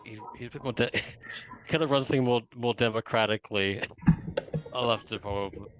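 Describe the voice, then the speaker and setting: A man playing a tabletop role-playing game, improvising casually in a hesitant, halting way. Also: on the low side, fairly bright tone, poor audio. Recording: music playing under the voice. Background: background music.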